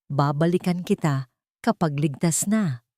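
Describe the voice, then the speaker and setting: A woman's voice: sits low, unhurried, no room sound, clean studio sound. Loyal old butler, voiced by a woman, urgent and secretive. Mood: protective, urgent. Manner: urgent, hushed instructions. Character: loyal elderly male butler, secretive and protective.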